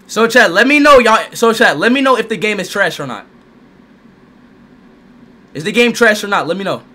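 A young man livestreaming a game, excitable and loud, talking straight to his chat and repeating himself. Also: high voice, normal speed. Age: young adult. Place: bedroom streaming setup, indoors.

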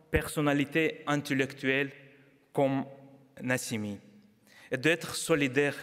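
A man reads a formal speech from a lectern, careful and halting, correcting himself often. His voice sits somewhat high for a man. The hall adds a long echo. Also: a bit bright. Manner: careful, halting delivery with frequent self-corrections. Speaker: diplomat giving a speech. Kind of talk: formal speech read from notes. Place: large auditorium stage at a lectern. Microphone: lectern microphones.

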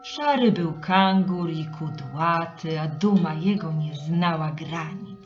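A woman narrating a children's story in an expressive, dramatic and rhythmic way. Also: low voice, takes it slowly. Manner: dramatic, rhythmic delivery with refrains. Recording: slightly muffled, with music behind. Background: music.

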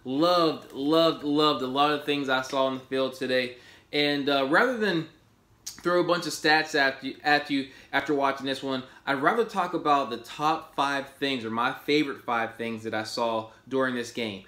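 A man's voice: medium pitch, moderate pace, echoey room. A man in his thirties talking casually and enthusiastically as a fan reviewing a game, filmed at his desk in a small home office. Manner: enthusiastic, animated, gestures while talking. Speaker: sports podcast host.

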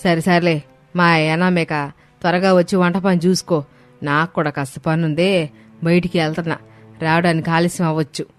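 A woman voicing a scolding, possessive middle-aged Telugu mother-in-law in an animated moral story. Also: fairly low pitch, moderate pace, soft background music, natural voice. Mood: stern nagging. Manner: scolding, complaining, sarcastic.

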